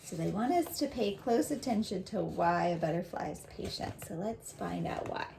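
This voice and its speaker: A woman reads and explains to young students in an encouraging, simple way. Her voice is mellow and relaxed, with a creaky edge. There is some faint noise in the recording behind her. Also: on the low side, moderate pace. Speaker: teacher reading aloud to young students. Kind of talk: read-aloud lesson for children.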